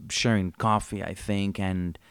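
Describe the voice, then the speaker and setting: A man's voice: deep voice, steady tone, measured pace, smooth, dry recording, studio quality. A man co-hosting a podcast, chatting casually about a miniseries, rambling and joking with frequent laughs. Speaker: podcast co-host. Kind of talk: casual chat reviewing a miniseries.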